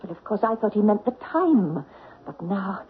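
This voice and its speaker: An actress playing a shrewd, bold French stage star in an old radio mystery, with a theatrical, coolly taunting manner. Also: female voice, medium pitch, sing-song, normal speed, close to an everyday voice. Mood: excited discovery. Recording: tinny, noisy old radio recording.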